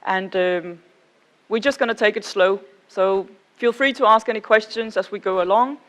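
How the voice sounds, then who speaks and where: A woman with a Danish accent speaks as a conference presenter. Her voice sits at a middling pitch for a woman. She talks informally and in a measured way, addressing her listeners directly. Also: a bit bright. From Denmark.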